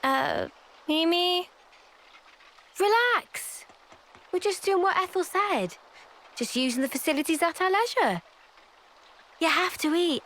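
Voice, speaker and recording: An actress playing a spirited, caring young female soldier in a video game, with an informal, expressive way of talking. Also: sits high, full character voice. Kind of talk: scripted video game cutscene acting.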